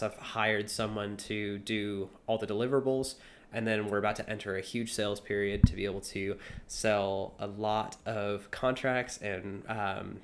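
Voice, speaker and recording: A man's voice: fairly low pitch, a bit bright. A twenty-year-old man vlogging in a casual, earnest, somewhat rambling way, as a young entrepreneur talking to camera. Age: 20 years old.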